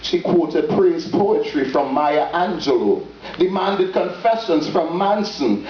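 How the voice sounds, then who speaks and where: A man gives a dramatic spoken-word poetry reading, phrasing theatrically and solemnly with deliberate pauses. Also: on the high side. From Trinidad and Tobago. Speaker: poet. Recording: dull lo-fi, roomy echo, distant, faint noise.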